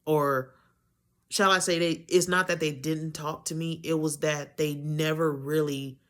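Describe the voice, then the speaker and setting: A young woman with a deep voice, talking candidly to camera in a rambling way, often losing her train of thought and correcting herself. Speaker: vlogger. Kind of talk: candid personal talk to camera.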